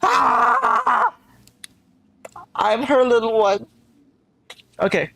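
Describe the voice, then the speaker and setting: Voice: high-pitched, wildly swooping pitch, extremely slow, vocal fry, sharp tone. A game streamer giving casual, playful live commentary, reacting dramatically and muttering along. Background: game music.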